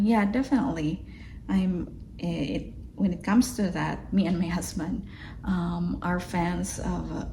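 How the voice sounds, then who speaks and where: A woman's voice: medium pitch, vocal fry, warm tone, slight background noise, low-quality recording. A young adult woman from the Philippines with a Filipino accent, chatting casually in her vlog with many fillers and restarts. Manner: chatty, lots of fillers and restarts, laughs easily. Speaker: vlogger. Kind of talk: casual self-reflective vlog.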